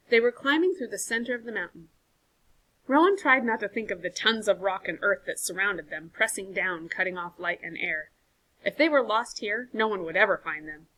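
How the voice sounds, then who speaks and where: A woman teacher reading a chapter book aloud to a class, with clear, expressive narration. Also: medium pitch, rough recording. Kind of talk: storybook read-aloud for a class.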